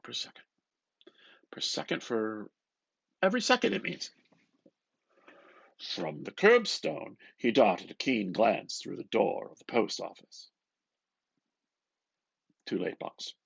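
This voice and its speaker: A man gives an expressive, theatrical reading of a literary novel, moving between murmured asides and louder phrases. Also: on the low side, creaky, slightly rough, no room sound, muffled recording. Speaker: reader of a novel.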